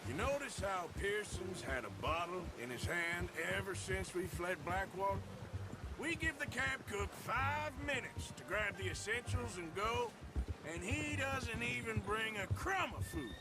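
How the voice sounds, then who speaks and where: An American actor playing a rugged, wry Old West outlaw in a video game cutscene, with a folksy drawl. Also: male voice, high voice, expressive, a little slow, over music. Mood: casual, wry.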